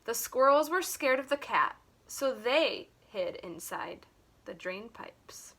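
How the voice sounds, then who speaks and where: A woman reading a children's picture book aloud like a teacher, in an expressive, deliberate way. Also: on the high side. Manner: animated, deliberate.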